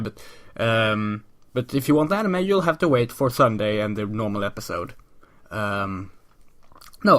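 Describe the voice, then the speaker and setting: A man's voice: medium pitch, a bit bright. Sick man rambling on his podcast. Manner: rambling, chatty, many ums and restarts. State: sick, voice a bit off. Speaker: podcast host. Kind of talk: casual solo podcast monologue.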